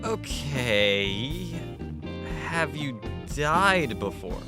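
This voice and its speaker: A man's voice: mid-range voice, very animated, extremely slow, over music. A man voicing a hapless, broke young man lost in another world, dramatic and self-pitying, in an anime parody dub. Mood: wry questioning.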